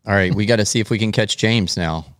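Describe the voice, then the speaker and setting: A man's voice: lowish voice, fast talker, very noisy. A man hosting a podcast with casual, comic banter. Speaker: podcast host.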